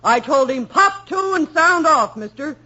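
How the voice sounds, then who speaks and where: An actress playing a brusque housekeeper who used to be an army sergeant's wife and barks military jargon, in 1940s radio acting on a dull old broadcast recording. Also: female voice, high-pitched, lively intonation, measured pace, sharp tone, heavily acted. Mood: barking, brisk.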